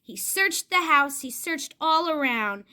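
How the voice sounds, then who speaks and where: A woman's voice: sits high, expressive, slow, pushed and bright. A woman storyteller narrating expressively, as in a puppet show for children.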